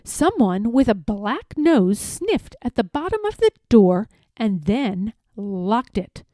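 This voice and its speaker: A woman narrating a children's story aloud as an expressive storyteller, reading from scripted text. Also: a little high, slow pace, no room sound, studio quality.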